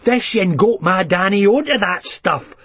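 A woman's voice: mid-range voice, animated, unhurried, fairly bright tone, husky, no room sound, voice pushed up. A man with a Scottish accent voicing an older Scottish mother who hurls bitter, venomous accusations. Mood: furious.

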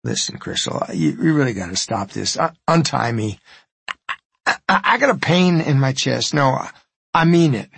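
A man voicing a frightened older man with a heart condition, pleading and shouting in pain in a gravelly, husky voice. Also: medium pitch, very animated, moderate pace, full character voice. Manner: strained, pleading, raising his voice in pain.

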